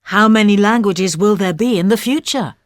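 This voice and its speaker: A woman's voice: medium pitch, normal speed, dry recording, clean studio sound. A woman reading scripted questions in a textbook audio dialogue, curious and inquiring.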